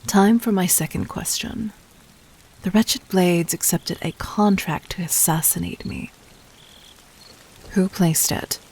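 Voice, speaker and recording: A woman playing a calculating, commanding demon queen in an audio roleplay, speaking formally and deliberately in a soft voice that often dips toward a whisper. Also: on the low side, gravelly, slightly rough, exaggerated voice. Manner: measured, regal, formal, deliberate. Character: calculating, commanding demon queen toying with her would-be assassin. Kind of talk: scripted audio roleplay addressed to the listener.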